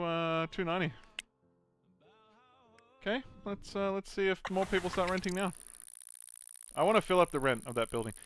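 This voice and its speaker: A young man giving chatty let's-play commentary, thinking aloud with excitable bursts. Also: sits high. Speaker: gaming channel host. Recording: noisy home recording.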